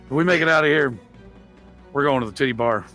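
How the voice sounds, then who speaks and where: A man's voice: medium pitch, quick pace, pushed and bright, soft background music. A man talking casually as a player in a tabletop roleplaying game.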